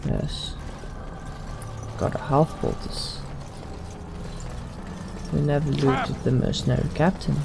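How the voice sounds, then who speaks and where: A man doing in-character roleplay commentary over a video game, in a deliberate, formal and stilted way. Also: on the high side, fairly even intonation, gravelly, heavy background noise. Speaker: let's play host.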